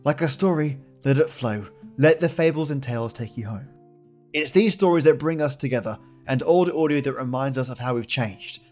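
Male podcast host, medium pitch, chatty and effusive with slangy thanks, soft plucked guitar behind. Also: moderate pace. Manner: effusive, with slangy matey address. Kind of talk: friendly, colloquial podcast outro. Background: plucked guitar music.

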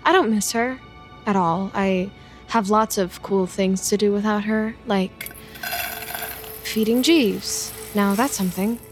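Actress for sardonic, secretly lonely young woman programmer; hesitant, dry delivery, mid-pitched, music underneath. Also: takes it slowly, natural voice. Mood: wry, lonely. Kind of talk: audio drama dialogue and narration.

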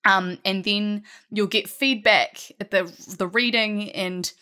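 A woman talking casually as a guest writer in an interview, animated and quick to laugh. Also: medium pitch, clean tone.

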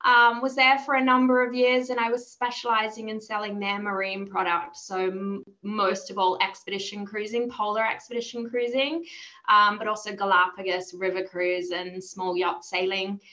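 A woman's voice: medium pitch, little pitch movement, moderate pace, pushed and bright, lo-fi sound. An Australian woman in her thirties giving a conversational interview as an enthusiastic, emphatic guest. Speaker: interview guest, travel business development manager.

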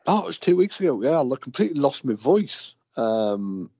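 A man's voice: mid-range voice, sing-song, a little fast, a little mellow, husky, no echo. A man from the UK co-hosting a casual radio show, dry and laid-back. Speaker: radio co-host. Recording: dull lo-fi dry audio.

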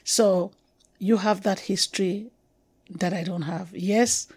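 A middle-aged Cameroonian woman speaks in a reflective, halting way, often restarting and drawing out key words. Her voice sits in an ordinary middle range. The recording is close and dry, with no room sound. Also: medium pitch, steady tone, darkish tone, husky, no room sound. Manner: reflective, halting, with restarts and slow emphatic stretches. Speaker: guest poet. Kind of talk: conversational interview.

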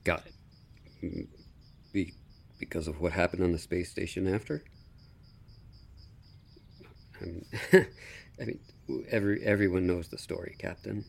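An actor playing a patient, tactfully puzzled therapist, hesitant and gently probing in a naturalistic audio drama. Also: male voice, sits low, steady tone, moderate pace, creaky, long pauses, slightly rough, exaggerated voice. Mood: careful, probing.

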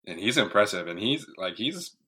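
A man's voice: on the low side, big pitch swings, normal speed, sharp tone, breathy edge. A man working as a podcast interviewer, asking questions and commenting in a casual sports-talk style.

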